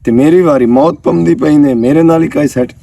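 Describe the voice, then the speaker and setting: Man acting as lovestruck rural bachelor, Pakistani Punjabi accent, gravelly, higher-pitched, effusive, eager, comedy skit. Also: moderate pace, warm tone. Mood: glum, self-pitying. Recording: roomy echo, distant microphone.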